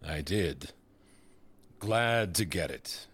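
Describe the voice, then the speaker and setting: A man playing a smug, manipulative disgraced senator in an audio drama, condescending, deliberate and insinuating. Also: sits low, lively intonation, a bit bright, slightly rough, full character voice.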